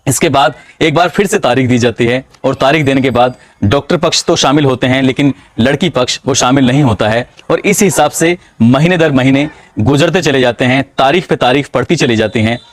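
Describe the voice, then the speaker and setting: A man narrates a true-crime story in a measured, dramatic storytelling manner. His voice sits at a middle pitch. The recording is dry and close, with no room sound. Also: no room sound.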